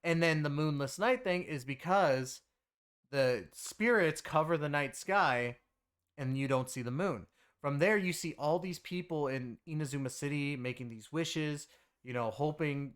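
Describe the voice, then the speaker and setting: Clear-voiced man in casual conversational review. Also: fairly high pitch, measured pace, a bit bright. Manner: rambling, conversational, frequent filler phrases like "you know". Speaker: game reviewer and content creator. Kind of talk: casual video game story review.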